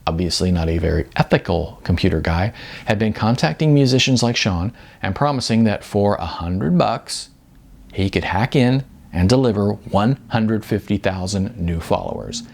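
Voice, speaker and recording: A man narrates fiction as an audiobook with a low voice and frequent fry. His delivery is lively in pitch, conversational and wry. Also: normal speed.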